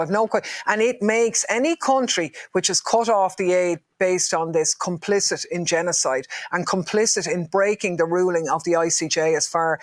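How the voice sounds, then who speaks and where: A woman as a guest politician in an interview, forceful and outraged, speaking firmly. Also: on the low side, dry recording, clean studio sound. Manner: forceful, outraged, firm and emphatic. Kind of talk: impassioned interview answers.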